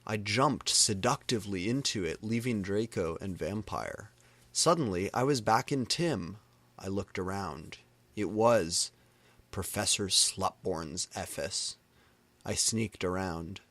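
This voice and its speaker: A man's voice: lowish voice, a bit bright, no room sound. A man reading fan fiction aloud in a dramatic, comedic style, pronouncing misspellings and stray symbols literally. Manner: reads misspellings and punctuation literally.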